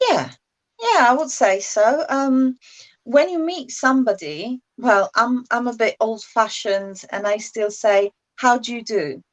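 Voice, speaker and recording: A woman's voice: on the high side, animated, measured pace. A woman, explaining English usage casually as a guest in a language chat.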